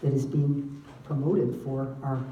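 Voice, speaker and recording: An older woman, president of a civic group, formally introducing a guest speaker in a measured way. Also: a bass voice, flat delivery, dull audio. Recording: echoey room, distant mic, faint noise.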